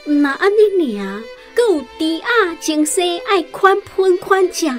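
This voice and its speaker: A sorrowful Taiwanese woman in a radio drama, recounts her burdens plaintively. Her voice is high and very slow, with faint music behind it. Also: big pitch swings, a bit bright, heavily acted.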